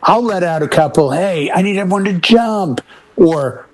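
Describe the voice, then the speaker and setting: A man's voice: high voice, animated, moderate pace. A man from the Boston area talking casually as a DJ on a panel, slipping into anecdotes and short acted-out quotes. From Boston area, United States. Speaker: wedding DJ, panel member. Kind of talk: casual panel chat about music.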